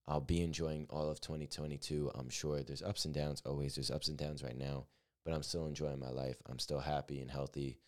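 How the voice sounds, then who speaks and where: A man's voice: a bass voice, little pitch movement, a little fast, darkish tone. An eighteen-year-old man hosting his own podcast, talking through his plans in an earnest, upbeat, rambling way with many fillers. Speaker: podcast host. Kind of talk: solo podcast monologue.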